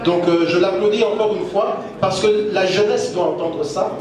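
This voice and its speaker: A man's voice: sits high, normal speed, a little mellow. A man from Congo, speaking emphatically as a press conference moderator. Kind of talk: formal press conference speech. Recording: echoey hall, far from mic, crowd noise.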